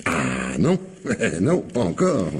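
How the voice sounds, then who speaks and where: An actor playing a shrewd, probing police commissioner in a radio mystery, with a colloquial, wry manner. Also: male voice, sits low, huge pitch swings, extremely slow, slightly rough, exaggerated voice. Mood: curious, amused. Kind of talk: French radio mystery play acting.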